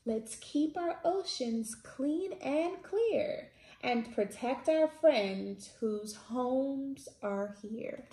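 A woman in her thirties hosting children's storytime, reading picture books aloud in an expressive, sing-song way. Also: high voice, drawn-out words, slight background noise. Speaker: children's storytime host at a library. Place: indoors, small plain-walled room. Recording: roomy echo from camera mic.

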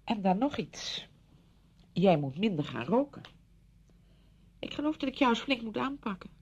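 An actress in a Dutch radio play, playing a brisk, patronising woman who fusses chattily over a widowed friend. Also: sing-song, husky, full character voice. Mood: brisk, chatty. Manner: chatty, fussing, condescending. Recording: dull radio recording with rumbling noise.

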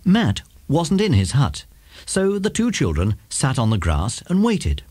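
Male narrator, children's audiobook: highly varied intonation, slightly grainy, close dry recording. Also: wildly swooping pitch, measured pace, a little mellow, husky, dry recording. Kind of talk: scripted children's story narration.

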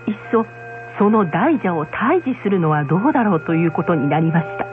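A woman's voice: fairly low pitch, very animated, brisk, loud music behind the voice. A woman narrator reading a scripted folktale in storytelling style. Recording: muffled lo-fi radio sound.

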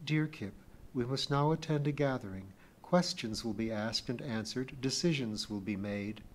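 A man voicing a small, gentle, motherly female alien who speaks calmly and firmly. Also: mid-range voice, slight background noise, close to an everyday voice. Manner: soft, measured, soothing and precise. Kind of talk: audiobook character voice.

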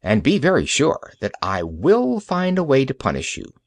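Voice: medium pitch, huge pitch swings, moderate pace, dry recording, studio quality, pitched above the performer's own voice. A storyteller voicing a stern, kindly male doctor who lays down firm orders. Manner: firm, commanding.

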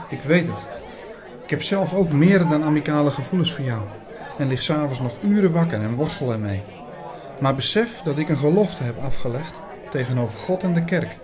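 A man's voice: on the high side, lightly acted. Earnest young male priest, played by an actor. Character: earnest young priest Hugo, gentle, hesitant, narrating his story. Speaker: narrator and lead actor. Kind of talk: Dutch audio drama narration and dialogue.

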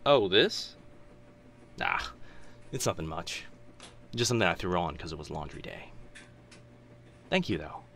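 A young man playing a teasing, kind-hearted telepath in a boyfriend ASMR roleplay, with hesitant, chatty talk and soft asides. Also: deep voice, very animated, a little slow, pauses often, full character voice. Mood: friendly, awkward. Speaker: voice actor in audio roleplay.

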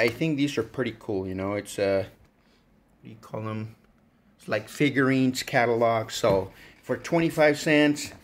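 A man with a mid-range voice talks casually to his viewers as a channel host. His delivery is folksy and rambling, like informal shop talk from an online reseller. He speaks from behind a handheld camera indoors. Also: medium pitch. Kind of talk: casual vlog chat to viewers. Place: indoor workshop.

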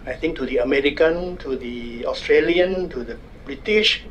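An older man with a Thai accent, speaking at a desk microphone as a guest speaker at a university public forum, wry and measured with self-deprecating humor. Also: medium pitch, a bit bright, slight background noise, rough recording. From Thailand. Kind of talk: public lecture. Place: university conference room.

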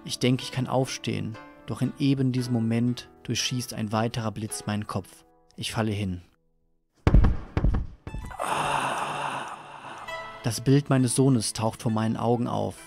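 A man's voice: medium pitch, steady tone, normal speed, creaky, a little mellow, close to an everyday voice. Audio drama lead , played by a man: tormented, dazed, brooding first-person narrator, over music. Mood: exhausted, brooding. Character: tormented, disoriented man narrating his waking nightmare. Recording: music under the voice.

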